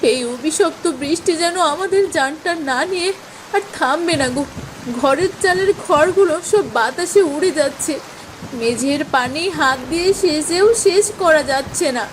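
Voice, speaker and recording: A woman acts a desperate, poor village mother for a cartoon tale. Her voice sits high and sounds plaintive, always pleading and worried. Faint rainy noise lies behind it. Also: moderate pace, natural voice. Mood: despairing. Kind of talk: Bengali moral-story cartoon voice acting.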